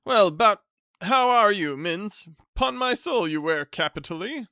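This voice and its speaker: A man voicing a loud, hearty middle-aged visitor who repeats himself. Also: sits high, lively intonation, measured pace, smooth, no echo, clean studio sound, voice pushed up, full character voice. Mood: boisterous.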